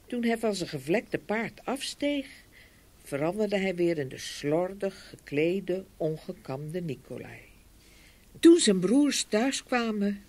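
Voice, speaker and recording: A woman narrating a scripted fairy tale with expressive storytelling. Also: mid-range voice.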